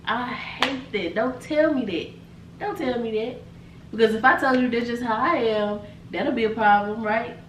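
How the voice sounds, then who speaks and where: Woman, mid pitch, candid and firm, echoey distant mic, clear background noise. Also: moderate pace. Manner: relaxed and candid, grows firm when making a point. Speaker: podcast co-host. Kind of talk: casual chat.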